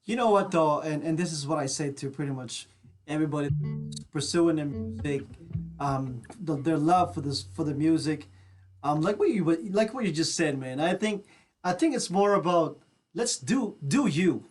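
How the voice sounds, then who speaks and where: A man's voice: a little high, lively intonation, moderate pace, darkish tone, soft background music. A man co-hosting a radio show, chatting in an informal, encouraging way. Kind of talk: casual chat.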